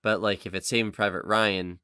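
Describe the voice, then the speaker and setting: A man with a fairly low, bright voice, recorded dry and close. He chats casually as a podcast co-host, working things out aloud and swearing freely as he goes. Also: level tone, fairly quick, smooth, no room sound. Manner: swears casually, thinks out loud. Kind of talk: casual chat, guessing game among friends.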